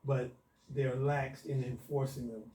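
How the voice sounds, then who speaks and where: A man hosts a radio talk show, setting up his questions with firm opinions in a measured, deliberate way. His voice is mellow and mid-pitched, and he sounds a bit away from the mic in a roomy studio. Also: level tone, recorded from a distance. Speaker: radio host. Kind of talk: radio interview.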